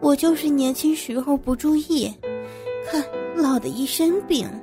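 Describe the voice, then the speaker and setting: 一个女人在有声故事里演绎一位中年女老板，说话拖着腔，带着居高临下的纵容和叹词。. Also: female voice, high-pitched, measured pace, pitched above the performer's own voice. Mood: casual, nagging. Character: middle-aged female company boss, indulgent and self-satisfied. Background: music.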